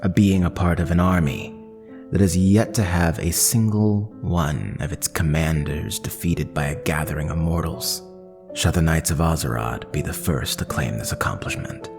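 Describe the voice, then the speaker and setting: A man narrating a horror tabletop game as game master, with measured, dramatic storytelling. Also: very deep voice, creaky. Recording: long reverb, music underneath.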